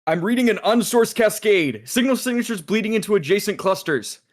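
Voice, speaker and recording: Man playing a jaded, expressive sci-fi agent. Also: high voice, fairly quick. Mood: alarmed. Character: jaded, sardonic agent at a sci-fi monitoring agency. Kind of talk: animated pilot table read.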